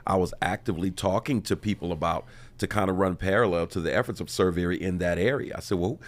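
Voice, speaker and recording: Low, stuffed-up male interview guest. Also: fast talker. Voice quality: stuffed-up, nasal. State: congested, stuffy nose. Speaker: interview guest, community organizer. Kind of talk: conversational interview answers. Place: radio studio.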